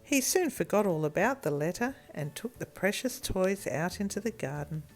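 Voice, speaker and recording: A woman reading a children's story in an expressive storytelling manner. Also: fairly low pitch, soft background music. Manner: animated, deliberate storytelling with acted character voices.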